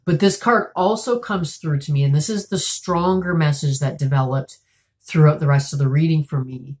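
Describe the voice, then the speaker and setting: A woman in her thirties giving a tarot reading to camera at home, a bit congested and raspy from a cold, talking in a soft, musing way with many fillers. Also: sits low, moderate pace. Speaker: tarot reader. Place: small room at home, indoors.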